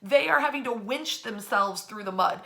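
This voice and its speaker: A middle-aged American woman telling history in a casual, animated way, speaking in a bedroom to a camera a short distance away. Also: mid-range voice, moderate pace, vocal fry. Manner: animated storyteller, acting out quotes in slangy asides. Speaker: history storyteller and host. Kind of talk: casual, informal history storytelling. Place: indoors in a bedroom.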